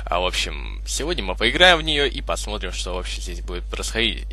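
Young man, very bright sharp voice, lively pitch swings, casual halting game commentary. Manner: hesitant, halting, improvised phrasing. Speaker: gaming video host.

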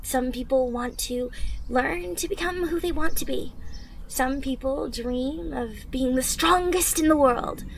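A young woman playing a caring, encouraging teenage superhero in training, speaking gently and earnestly in an anime-inspired ASMR roleplay. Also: high voice, measured pace, creaky. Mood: gentle, reassuring. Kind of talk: ASMR roleplay, acted monologue to listener. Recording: noisy ambience with birdsong. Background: birdsong, outdoor ambience.

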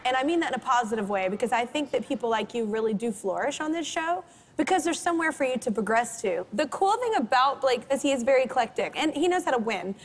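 A woman's voice: high voice, lively intonation, quick pace. A woman coaching on a TV singing show, giving a young contestant upbeat, direct feedback. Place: large TV studio with an audience.

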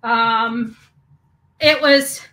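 A woman in her forties giving a halting, indignant personal vlog rant, repeating words for emphasis, recorded indoors in a small room. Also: a little high, fairly even intonation, bright, forceful tone. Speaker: YouTuber. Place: small hotel or bedroom, indoors.

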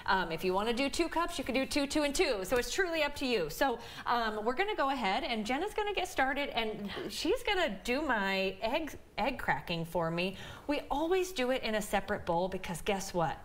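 A woman's voice: a little high, big pitch swings, moderate pace, few pauses, fairly bright tone, slight background noise. Chatty Midwestern woman demonstrating a recipe. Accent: Midwestern American. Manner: chatty, explanatory, many "um"s. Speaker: dietitian guest. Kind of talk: cooking demonstration on morning TV. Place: home kitchen TV set.

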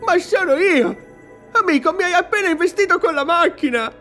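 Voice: very high-pitched, expressive, normal speed, a bit bright, faint music behind. A performer voicing a bewildered, cheeky younger animatronic rabbit in an incredulous cartoon dub.

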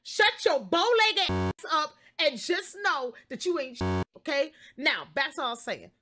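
A young woman telling a story casually on a livestream, expressive, slangy and sweary. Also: high-pitched, normal speed, music in the background. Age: young adult. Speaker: game streamer.

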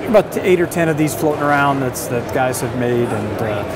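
A man's voice: mid-range voice, expressive, moderate pace, music in the background, poor audio. A man in his forties, a company representative, chatting casually in an interview at a busy indoor trade show. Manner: easygoing, chatty with fillers and restarts. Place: busy indoor expo hall. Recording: loud crowd chatter behind.

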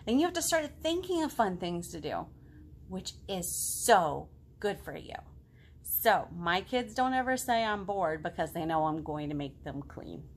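A woman in her thirties talking to young children as a storytime librarian, expressive, with simple questions and pauses. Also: lowish voice, a little hiss or hum. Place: home living room.

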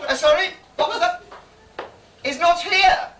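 A high, lively woman's voice hosts a comic pantomime with slow, teasing theatrical introductions. She is heard from far off in an echoey bar with a loud crowd and heavy noise. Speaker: master of ceremonies. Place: small dim stage in a theatre bar. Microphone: far camera. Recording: distant echoey noisy bar stage recording.

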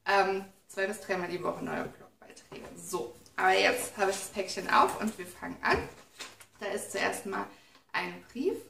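A young woman chatting excitedly on her living room sofa as she unboxes a gift parcel, reading little notes aloud. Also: medium pitch, a little slow, creaky. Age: young adult. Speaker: beauty vlogger. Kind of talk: casual unboxing chat. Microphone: camera mic from a few feet away. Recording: roomy, distant camera sound with faint noise.

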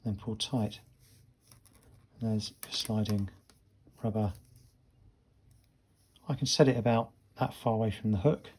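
A man's voice: on the low side, steady tone, unhurried, long pauses, darkish tone. A man, talking casually and instructively as a fishing vlogger. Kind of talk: casual instructional vlog talk. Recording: clear background ambient noise.

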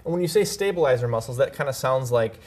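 Casting: male talk show host, thirties, mid-pitched, conversational interview delivery. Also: animated, fast talker, darkish tone. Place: small TV studio set, indoors.

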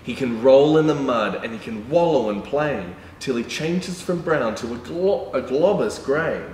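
A young man reading a picture book aloud to children as a storytime presenter, in an animated, exclaiming manner. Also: medium pitch, a little slow. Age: young adult. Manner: animated, exclaiming, with excited gasps and asides. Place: indoor room with painted backdrop. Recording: roomy, distant mic, background noise.

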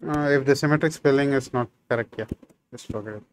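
A man's voice: mid-range voice, fast, a little hiss or hum. Rambling man, Tamil native, thinking aloud. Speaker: programming tutor. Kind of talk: casual screen-share coding tutorial. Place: small room indoors.

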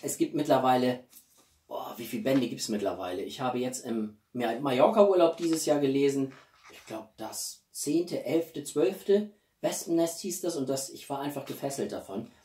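A man's voice: medium pitch, expressive, moderate pace, dark tone. Man vlogging in an echoey room. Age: 40s. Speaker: video blogger. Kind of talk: casual chatty vlog presenting gifts. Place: small living room, indoors. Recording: roomy echo, distant microphone.

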